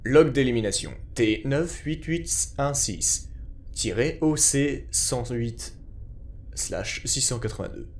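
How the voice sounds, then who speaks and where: A man's voice: mid-range voice, animated, takes it slowly, darkish tone. A male narrator with a mid-range voice reads from a script. His delivery is formal and clinical, in the manner of an official report.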